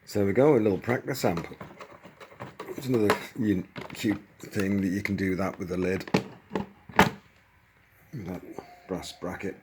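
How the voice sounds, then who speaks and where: A man's voice: sits low, fairly even intonation, a little slow, long pauses, a little mellow, a little hiss or hum. A man giving a casual, hands-on explanation as a hobbyist, informal and thinking aloud as he goes. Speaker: hobbyist presenter demonstrating a modified radio.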